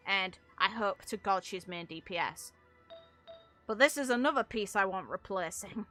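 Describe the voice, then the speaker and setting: A woman's voice: medium pitch, bright, forceful tone, no room sound. A woman game streamer giving casual, rambling livestream commentary, explaining things at length with casual swearing.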